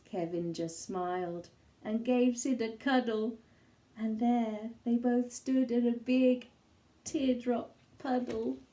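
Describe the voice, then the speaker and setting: A woman reading a children's story aloud in an expressive style, with measured, dramatic phrasing and pauses. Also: on the high side. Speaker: storybook reader.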